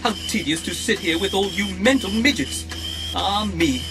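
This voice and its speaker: An actor playing a fussy, haughty, malfunctioning protocol droid in an audio drama, with a prissy, pompous, theatrical delivery. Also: male voice, sits high, expressive, normal speed. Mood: bored, haughty. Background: music.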